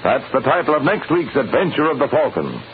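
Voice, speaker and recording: A man's voice: medium pitch, big pitch swings, a little fast, narrow, tinny audio, a little hiss or hum. An American man announcing in the style of a 1950s radio programme, reading closing credits. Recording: band-limited old radio broadcast. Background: loud music.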